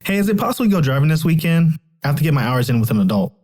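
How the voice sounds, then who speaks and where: A man's voice: fairly high pitch, very animated, racing, a little mellow. A man playing an eager, cheeky teenage son and little brother in an audio drama.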